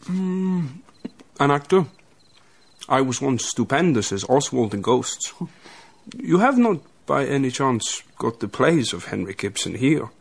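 An actor in a radio drama playing a courteous, pedantic down-and-out stage actor, deliberate and theatrical. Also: male voice, medium pitch, big pitch swings, creaky, husky, exaggerated voice. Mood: grandly earnest. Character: pedantic, theatrical down-and-out male actor, a wandering guest.

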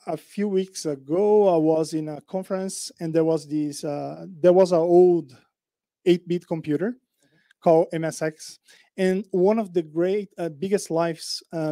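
A man's voice: fairly high pitch, warm tone, no echo. A man in his forties moderating a panel, chatty and joking, using a handheld mic in a meeting room. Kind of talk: panel conversation.

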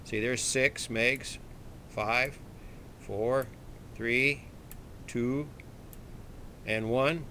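A man giving a casual, unhurried hands-on repair walkthrough, thinking aloud in a folksy way like a hobbyist at his bench. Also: medium pitch, fairly even intonation, bright, forceful tone, slight background noise. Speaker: electronics hobbyist demonstrating a radio repair. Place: small indoor electronics workbench.

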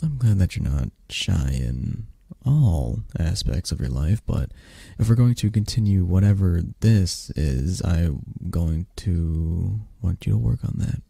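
A man voicing an affectionate, teasing young male dragon in an intimate ASMR roleplay, speaking in a soft, gentle, drowsy murmur. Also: low-pitched, expressive, measured pace, creaky, husky, no echo, clean studio sound, exaggerated voice. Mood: gently encouraging. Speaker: roleplay audio performer.

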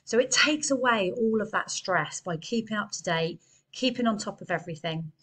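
A woman's voice: mid-range voice, lively intonation, moderate pace, fairly bright tone, slightly rough, poor audio. A woman in her thirties, an accountant, giving earnest, chatty business advice straight to a webcam in a small room. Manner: chatty, earnest, gesturing with hands. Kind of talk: direct-to-camera business advice.

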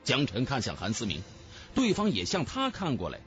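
A man's voice: mid-range voice, wildly swooping pitch, moderate pace, fairly bright tone. Male narrator for fantasy audiobook: theatrical, hugely varied intonation, faint music bed. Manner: theatrical, storytelling. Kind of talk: dramatic scripted narration of a fantasy novel.

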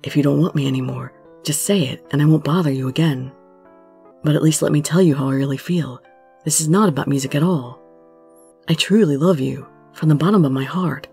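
Woman voicing an earnest, low-pitched lovesick young man. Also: brisk, creaky, mellow, breathy edge, faint music behind, full character voice. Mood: tender, pleading. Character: earnest lovesick young male musician, intense and pleading. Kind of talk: dramatic romance story reading.

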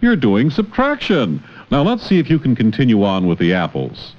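A man's voice: mid-range voice, huge pitch swings, moderate pace, darkish tone. A man teaching in an educational film, encouraging and full of questions, on an old film soundtrack. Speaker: teacher, narrator. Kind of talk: educational film dialogue with a child. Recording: dull, noisy, clicking old 16mm film soundtrack. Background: clicks and heavy film noise.

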